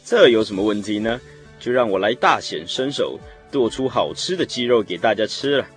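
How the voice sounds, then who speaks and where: A man's voice: fairly low pitch, lively intonation, moderate pace, faint music behind, close to an everyday voice. An actor playing a cheerful, helpful family man in a radio drama. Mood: cheerful, eager.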